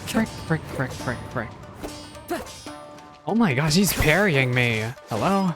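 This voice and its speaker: A man's voice: a little high, expressive, slow pace. A man streaming a game, reacting with casual running commentary. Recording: game music underneath.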